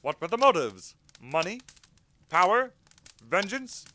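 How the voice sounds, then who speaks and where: A man's voice: high-pitched, big pitch swings, unhurried, bright, forceful tone, a little hiss or hum, heavily acted. A man playing a self-important detective in a parody murder-mystery radio play, speaking with pompous drama.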